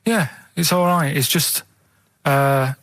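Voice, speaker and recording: A man's voice: fairly high pitch, fairly even intonation, takes it slowly, fairly bright tone, no echo. Hesitant man, close dry sound. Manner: hesitant, matter-of-fact storytelling. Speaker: radio show co-host. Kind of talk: casual rambling anecdote.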